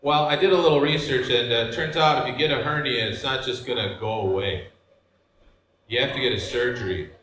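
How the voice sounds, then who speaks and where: A man in his forties from Michigan, with a Michigan accent, doing a deadpan stand-up set in a brewery with loose asides to the crowd. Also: mid-range voice, fairly quick, slight background noise. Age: 40s. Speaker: stand-up comedian. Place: brewery stage before a live audience. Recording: roomy live venue sound.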